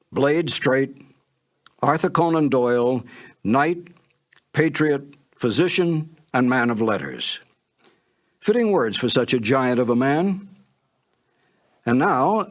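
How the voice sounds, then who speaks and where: A man giving a scripted introduction in a measured, admiring manner. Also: medium pitch. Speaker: narrator. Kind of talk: scripted documentary narration.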